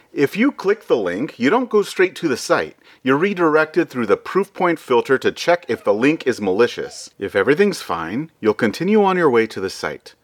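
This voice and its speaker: A man hosting a podcast, reading upbeat scripted announcements in an emphatic, chatty announcer delivery. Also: on the low side. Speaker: podcast host.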